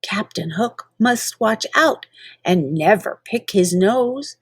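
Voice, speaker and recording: A woman's voice: mid-range voice, expressive, takes it slowly, breathy edge, dry recording. A woman reading children's poetry aloud in a playful, theatrical manner, drawing out words for emphasis. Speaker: reader of children's poems.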